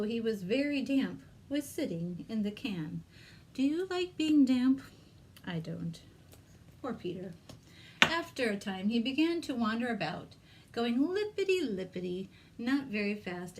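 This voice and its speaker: A woman telling a story to young children as a librarian at storytime, expressive, with chatty and sometimes whispered asides to her listeners. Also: a little high. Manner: asks young listeners simple questions. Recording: noticeable background noise.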